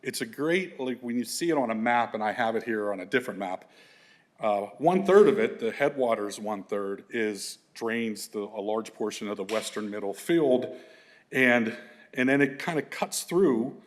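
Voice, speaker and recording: Man, middle-aged, big voice, casual conference presentation, lots of fillers and restarts, average pitch. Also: lively intonation, moderate pace. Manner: loose, conversational, many fillers and restarts. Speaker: environmental consultant presenting to an audience.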